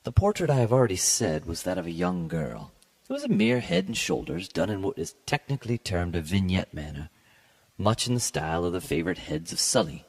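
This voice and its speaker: A man with a very low, husky voice reads a classic tale aloud. His tone is heavily gravelly and his delivery is formal and measured, with a theatrical touch suited to dramatic literary narration. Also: sing-song, darkish tone. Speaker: podcast host and story reader.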